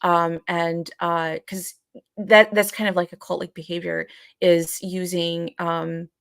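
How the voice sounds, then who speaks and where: A woman co-hosting a live podcast, talking casually and haltingly as she searches for words and hedges. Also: on the low side, little pitch movement, fairly bright tone, smooth, dry recording, clean studio sound. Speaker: podcast co-host.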